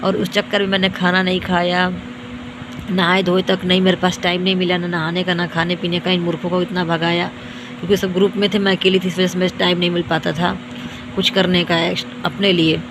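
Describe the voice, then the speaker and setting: Hoarse young woman ranting, flat voice. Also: lowish voice, a little fast, background noise. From India. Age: young adult. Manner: repetitive, boastful, scolding. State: tired. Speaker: vlogger. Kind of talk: self-filmed rant to viewers.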